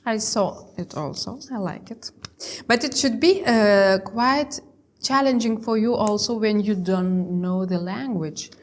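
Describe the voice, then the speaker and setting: A woman with a Lithuanian accent hosting a casual interview in English, speaking haltingly as she searches for words. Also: mid-range voice, slight background noise. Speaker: interview host.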